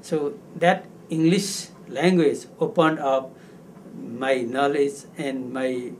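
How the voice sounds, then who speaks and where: An older man from Nepal, a longtime civil servant, speaking slowly and deliberately in an interview. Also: mid-range voice, fairly even intonation, slight background noise. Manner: slow, deliberate. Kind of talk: recorded interview.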